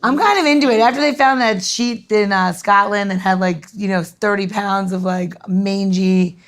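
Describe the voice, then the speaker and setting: A woman co-host with a medium-pitched voice talks in a loose, casual style. She is blunt and jokey, and comfortable swearing as she chats. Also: fairly even intonation, moderate pace. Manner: joking, blunt, swears freely. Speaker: podcast co-host. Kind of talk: casual chat.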